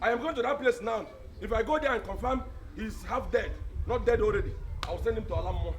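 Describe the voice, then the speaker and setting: A male actor playing a callous, scheming village elder with a Nigerian accent, scolding and repeating himself in loud, theatrical film style. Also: high voice, brisk, slight background noise, natural voice. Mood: menacing, boastful. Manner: blustering, repetitive, scolding.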